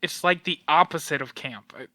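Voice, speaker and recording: A man's voice: a little high, fairly quick, bright, forceful tone, no room sound. An actor playing a troubled young man, guarded, wry and sad, in an audio play. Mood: sad, resigned.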